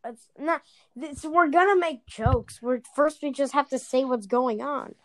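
A woman's voice: sits high, a little slow, a bit bright, no echo. A young woman co-hosting a casual podcast, speaking bluntly and swearing casually. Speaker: podcast co-host. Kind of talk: casual chat.

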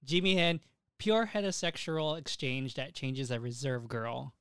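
A young man co-hosting a podcast, chatting casually and crudely about anime and games in an excitable, giggly, sweary way. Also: medium pitch, normal speed, smooth, no echo, studio quality. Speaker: podcast co-host.

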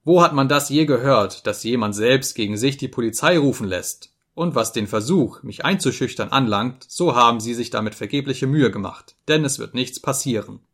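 A stubborn, haughty manservant, played by a male narrator in a literary audiobook. He speaks slowly and with pompous calm, with a slight lisp, never ruffled. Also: mid-range voice. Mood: scornful calm. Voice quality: lisping. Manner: slow, quiet, measured, pompous. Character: imperturbable, self-important manservant, haughty and stubborn.

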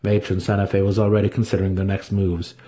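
A man's voice: low-pitched, flat delivery, quick pace, warm tone. A man giving a dramatic reading of a fantasy story as its narrator. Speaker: audiobook narrator.

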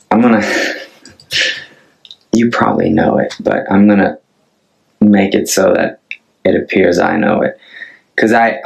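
Norwegian guy in his 30s, low gravelly voice, talks real slow, lots of ums and restarts. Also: low-quality recording. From Norway. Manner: hesitant and thoughtful, many restarts. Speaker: singer-songwriter. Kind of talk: casual chat to camera.

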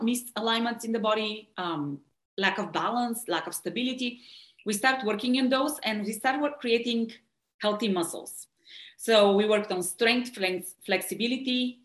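A woman's voice: mid-range voice, a bit bright, echoey room. A woman from Bulgaria with a Bulgarian accent, talking with enthusiasm as a podcast guest and laughing often. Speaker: guest, body-mind coach. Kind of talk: podcast interview.

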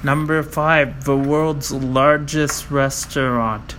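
A man slowly reading out a list of world records, halting often with fillers and stumbling over foreign names. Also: mid-range voice, fairly even intonation, fairly bright tone, slight background noise. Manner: slow, halting, with many fillers and self-corrections.

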